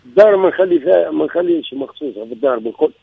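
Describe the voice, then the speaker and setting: A man's voice: fairly high pitch, animated, very fast, vocal fry, slightly rough, no echo, phone-quality sound. A man in his sixties with a Tunisian accent, calling in and telling of trouble in his marriage, emphatic and repeating himself. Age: 60s. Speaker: caller. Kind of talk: recounting a personal marital problem.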